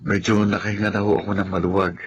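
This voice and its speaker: A man's voice: lowish voice, flat delivery, fast talker, slight background noise, natural voice. A man playing an earnest, reflective nephew who supports his family, in a Filipino radio drama. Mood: confiding. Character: adult nephew, burdened but accepting family breadwinner. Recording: music under the voice.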